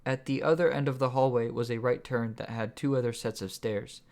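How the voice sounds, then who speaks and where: A man's voice: medium pitch, fairly even intonation, fairly quick, gravelly, darkish tone. A man reading his own story as scripted audiobook narration.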